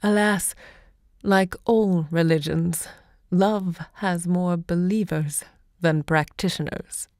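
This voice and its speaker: An actress playing a self-assured, romantic literary hostess and seductress in an audio drama, theatrical and eloquent in delivery. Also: female voice, fairly low pitch, steady tone, takes it slowly, a little mellow, no echo, studio quality. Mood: grand, visionary.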